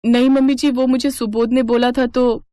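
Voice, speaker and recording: A woman's voice: on the high side, flat delivery, moderate pace, mellow, no room sound, voice pushed up, lightly acted. A woman voicing a sweet, plucky young Indian daughter-in-law in a cartoon drama, pleading yet cheeky. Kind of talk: Hindi cartoon family drama acting.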